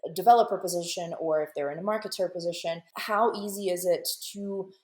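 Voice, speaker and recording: A woman with a Russian accent hosts a casual interview, pausing to find her words. Her voice sits slightly low, and the room around her rings with echo. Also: darkish tone. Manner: thoughtful, searching for words, frequent fillers. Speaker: interview host.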